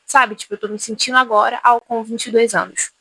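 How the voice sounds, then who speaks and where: Young woman in her twenties, high-ish voice, dry close sound, rambling chatty vlog. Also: flat delivery, brisk, pushed and bright, husky, no room sound, low-quality recording. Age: 20s. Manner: rambling, self-interrupting, chatty. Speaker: vlogger. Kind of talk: casual confessional vlog.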